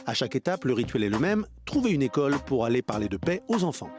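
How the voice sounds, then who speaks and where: A male reporter reading scripted news narration for a feature segment in a measured, expressive way. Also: mid-range voice, over music.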